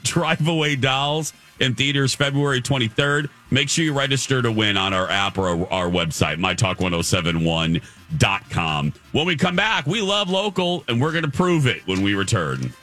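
A man's voice: medium pitch, big pitch swings, moderate pace, sharp tone, faint music behind. A man hosting a morning radio show with playful, teasing, casual banter. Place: radio studio.

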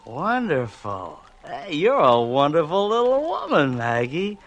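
A man's voice: fairly high pitch, wildly swooping pitch, measured pace, muffled recording, exaggerated voice. An actor playing a brash, boozy, flirtatious small-time wise guy with cocky, slangy delivery in a 1940s radio drama, on a vintage recording. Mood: tipsy, amorous.

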